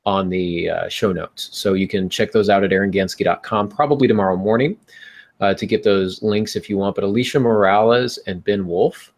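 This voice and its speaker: A man's voice: lowish voice, moderate pace. A man hosting a podcast, talking casually and giving advice to listeners. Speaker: podcast host.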